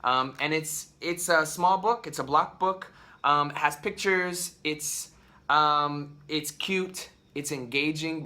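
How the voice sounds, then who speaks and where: Bright, crisp man in his 30s, vlog host and dad, chatty and rambling, full of ums. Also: a little high. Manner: rambling, lots of ums and restarts. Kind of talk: casual talk to camera. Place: at home.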